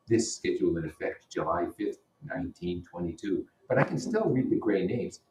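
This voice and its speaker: Male teacher, deep voice, reads literature aloud with explanatory asides; roomy sound, mic at a distance. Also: a little mellow. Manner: explanatory, puts rhetorical questions to listeners. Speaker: teacher reading a novel aloud. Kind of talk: read-aloud with explanatory comments. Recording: roomy echo, distant microphone.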